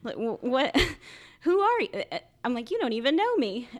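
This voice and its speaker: A woman who is a social worker, talking casually in a podcast interview, halting often and laughing. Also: sing-song. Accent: Philadelphia. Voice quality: high-pitched by her own account. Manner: halting, frequent restarts and laughs.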